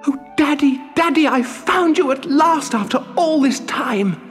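A storyteller voicing an excitable, frightened young wooden puppet boy who pleads and cries out. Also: medium pitch, normal speed, long reverb tail, soft background music, pitched above the performer's own voice. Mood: overjoyed. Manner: stammering, crying out.

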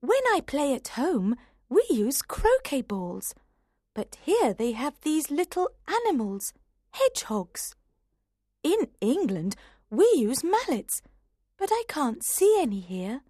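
A curious young storybook girl, high and lilting. She speaks slowly and politely but says what she thinks, in a dry recording. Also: wildly swooping pitch, a little mellow, no room sound, heavily acted. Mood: puzzled. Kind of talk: storybook character voice for learners.

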